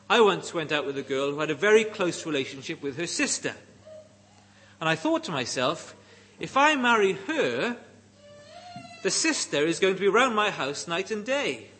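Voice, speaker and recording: A middle-aged Welsh man preaches in a fairly high, husky voice. His pitch moves in wide, lively swings. He delivers the sermon slowly, setting out each phrase with deliberate emphasis. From Wales.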